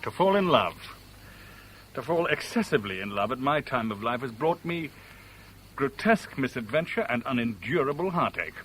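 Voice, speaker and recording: A man acts a jilted middle-aged Englishman in a vintage radio play, articulate and self-mocking. His British voice moves widely in pitch, wounded and theatrical, over a faint hiss of old broadcast tape. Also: lively intonation, normal speed, fairly bright tone, close to an everyday voice, low-quality recording. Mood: bitter, anguished. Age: 40s. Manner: articulate, wry, theatrical, rhetorical. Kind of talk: 1970s British radio drama acting.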